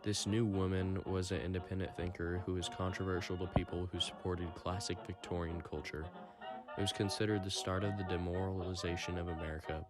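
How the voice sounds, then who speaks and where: A man reading a scripted report for a 1920s-style radio program. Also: deep voice.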